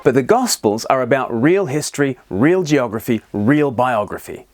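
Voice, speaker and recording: A man's voice: medium pitch, animated, normal speed, music in the background. A man presenting a scripted explainer, with playful, wry asides. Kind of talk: scripted narration to camera, explanatory.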